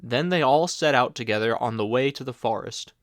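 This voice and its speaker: A man narrating a classic fairy tale in a steady, clear storybook reading. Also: mid-range voice, a little fast, dry recording, studio quality. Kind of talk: fairy tale read-aloud.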